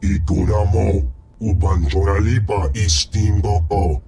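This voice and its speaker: An actor playing a gruff alien crime lord with a rough, husky voice, speaking an invented tongue in a video game. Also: male voice, fairly low pitch, steady tone, a little slow, warm tone. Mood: domineering. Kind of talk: video game character acting. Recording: music under the voice.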